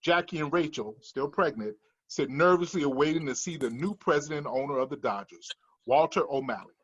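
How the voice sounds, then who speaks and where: A man's voice: a little high, brisk, a bit bright, highs cut off. A man narrating scripted stage directions at a screenplay table read.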